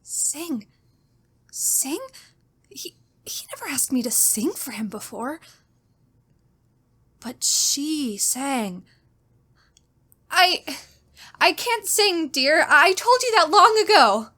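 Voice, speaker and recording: Actress doing a scared genteel young lady held captive, high voice, faltering, old radio suspense melodrama. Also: lively intonation, slow pace, pauses often, sharp tone, heavily acted. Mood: fearful. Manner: faltering, repeats words, melodramatic. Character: terrified captive young woman, genteel, anxious, pleading. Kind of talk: old-time radio style suspense drama, diary monologue.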